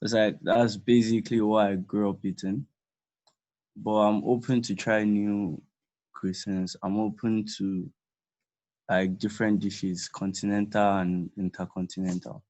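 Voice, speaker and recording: A man from Nigeria speaks on a video call. His voice is very low, and he talks slowly and hesitantly, pausing between thoughts. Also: flat delivery, a little mellow, rough recording. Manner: slow, hesitant. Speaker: student participant. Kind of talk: casual video call chat.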